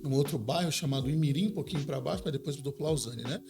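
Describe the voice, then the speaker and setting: A man in his forties from São Paulo, speaking with a paulistano accent and a voice a touch higher than most men's. He talks in an easy, chatty way, tags his sentences with little questions and laughs readily, and music plays clearly behind him. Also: fast. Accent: Paulistano Brazilian Portuguese. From São Paulo, Brazil. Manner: relaxed, chatty storyteller who laughs easily and adds tag questions. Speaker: pastor and seminary director. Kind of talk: casual podcast conversation.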